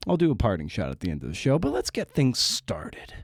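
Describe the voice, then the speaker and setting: A man's voice: medium pitch, huge pitch swings, fairly quick, creaky, dry recording, studio quality. A man hosting a podcast in a casual, conversational style, with an irreverent, slangy way of talking. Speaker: podcast host.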